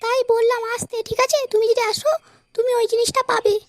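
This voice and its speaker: A man voicing a coaxing, sweet-talking young wife in a cartoon, through a pitched-up voice changer. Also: flat delivery, fast talker, soft background music. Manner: coaxing, cutesy. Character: sweet-talking young wife meeting her secret lover. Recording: faint background noise.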